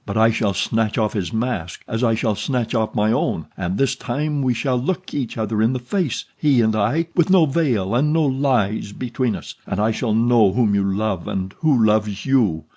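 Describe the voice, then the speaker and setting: A man's voice: lowish voice, expressive, unhurried, dark tone, breathy edge. A man voicing a jealous, bitter young man in an audiobook reading, delivering impassioned and sarcastic outbursts.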